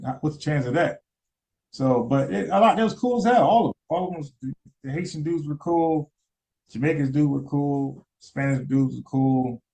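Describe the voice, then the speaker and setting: A man talks loosely through a live stream at an ordinary mid-range pitch. He rambles and stumbles over repeated words. He keeps turning to ask his viewers questions. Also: vocal fry, a little mellow, rough recording. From United States. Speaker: commentary streamer. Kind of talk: casual live-stream commentary.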